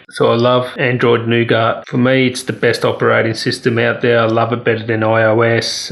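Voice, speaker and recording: Gravelly man giving a casual phone review. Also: mid-range voice. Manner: opinionated, candid. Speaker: tech reviewer.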